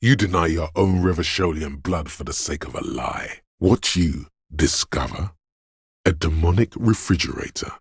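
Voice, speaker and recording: Very deep, gravelly, menacing inner voice. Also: male voice, expressive, no room sound, studio quality, heavily acted. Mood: cold contempt. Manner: ominous, slow, deliberate delivery. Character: menacing, paranoid inner voice of primal fear and aggression. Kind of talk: dramatic second-person game narration.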